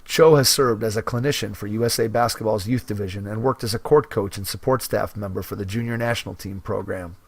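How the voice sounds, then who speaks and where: A man hosting a basketball coaching podcast, reading a guest's biography in a measured announcer style and then asking interview questions. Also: fairly low pitch. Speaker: podcast host.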